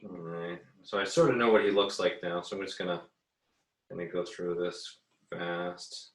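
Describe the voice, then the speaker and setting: A man's voice: low-pitched, fairly even intonation, normal speed. A man teaching a casual drawing tutorial as an art teacher, easygoing and hesitant, thinking aloud as he works. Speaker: art teacher giving an assignment demo. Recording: roomy, echoey, distant mic.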